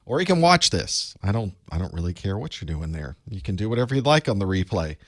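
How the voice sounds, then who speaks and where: A man from the United States with a chest cold that makes his voice a bit raspy, chatting casually with viewers as the host of a live study group. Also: deep voice, big pitch swings, fast, no room sound, studio quality. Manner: chatty, rambling, self-deprecating asides. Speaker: online course instructor hosting live study group. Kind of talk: casual live-stream chat.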